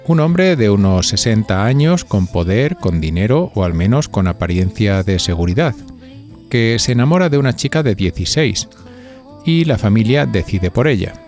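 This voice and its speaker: A man's voice: on the low side, sing-song, moderate pace, darkish tone, faint music behind. A man with an accent from Spain hosting a podcast, reading scripted narration and putting conversational questions to listeners. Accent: Spanish from Spain. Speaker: podcast host.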